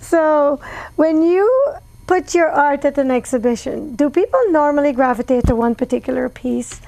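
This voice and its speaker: High, gravelly-voiced woman host. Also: moderate pace, darkish tone, no room sound, rough recording. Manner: encouraging, asks friendly questions. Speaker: interview host. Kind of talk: casual interview.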